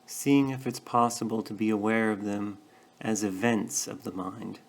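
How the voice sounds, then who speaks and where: A man leading a guided meditation, speaking gently and in a measured way with pauses between short phrases. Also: fairly low pitch, fairly even intonation, vocal fry. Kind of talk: guided meditation, calm instruction.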